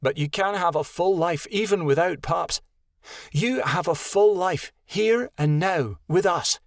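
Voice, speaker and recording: A man voicing a stern, motherly female wolf elder in a high, gentle voice. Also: a little slow, no room sound, studio quality. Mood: comforting.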